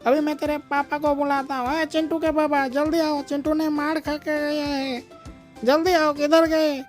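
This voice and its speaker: A shrill, bossy village mother shrieks her complaints in a fast, high, steady tone, as a woman puts her on. She scolds and commands in a storybook tale, her voice tight and pitched well above a normal speaking voice. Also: faint music behind, lightly acted. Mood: scolding. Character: loud scolding village mother, middle-aged.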